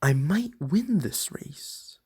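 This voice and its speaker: A reader acts out a little car from a children's storybook, a determined underdog. The pitch moves around in an animated way and the words come very slowly. It sounds earnest and plucky. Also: breathy edge, heavily acted.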